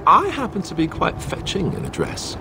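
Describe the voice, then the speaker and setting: A flippant young fantasy warrior. He is wry and joking, with a very animated pitch. Game ambience is clearly audible behind him. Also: moderate pace, very noisy, heavily acted. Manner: sarcastic, joking. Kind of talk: video game dialogue.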